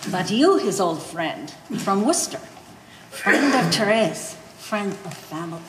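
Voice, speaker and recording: A woman's voice: medium pitch, very animated, measured pace. A woman playing a blunt old mother who speaks broken English with dropped articles in a live one-act stage play. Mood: insistent. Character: blunt old immigrant mother of a grown son. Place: art gallery stage reading. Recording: roomy echoing hall, faint noise.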